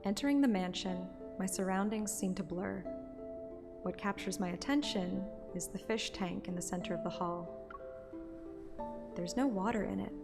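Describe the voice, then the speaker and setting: Expressive young woman hunter over music, acted. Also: medium pitch, normal speed, gravelly, lightly acted. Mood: narrating. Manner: expressive, teasing. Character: playful, plucky young woman hunter on her first mission. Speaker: player-character narrator. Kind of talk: first-person audiobook-like game story narration and dialogue. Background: background music.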